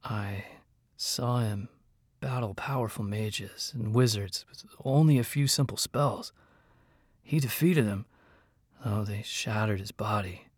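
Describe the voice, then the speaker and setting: Guy doing a big loyal warrior, husky, earnest, kinda halting, storybook fantasy. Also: on the low side, dry recording, clean studio sound. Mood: haggard, halting. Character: big, loyal, honest male warrior twin.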